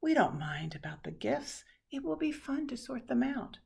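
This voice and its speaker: A woman voicing eager young hospital children in a storybook, excitable and imploring. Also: high voice, moderate pace, gravelly, breathy edge, voice pushed up, exaggerated voice. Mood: pleading.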